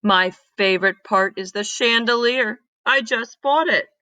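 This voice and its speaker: A woman's voice: fairly high pitch, unhurried, bright, forceful tone, no room sound. A woman voicing a friendly, welcoming neighbour in a children's storybook, with a lilting manner. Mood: gentle, puzzled.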